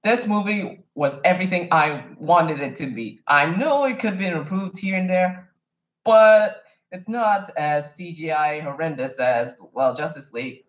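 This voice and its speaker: A man's voice: on the high side, a little slow. A man from Kenya talking casually and enthusiastically to the camera, rambling and wandering off his point now and then. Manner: enthusiastic fan, emphatic. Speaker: vlogger. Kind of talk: casual movie review monologue. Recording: echoey room, dull lo-fi sound.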